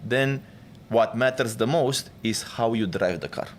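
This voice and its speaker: Measured man explaining on a panel. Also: medium pitch, slight background noise. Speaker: panel speaker. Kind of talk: casual panel discussion.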